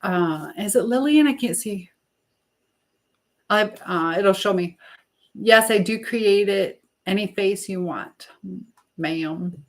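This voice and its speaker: Middle aged american lady on a live stream, just rambling casually and replying to chat, normal mid pitch. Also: slow, pauses often. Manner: rambling, chatty, hopping between asides and replies. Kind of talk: casual live chat, answering viewer comments.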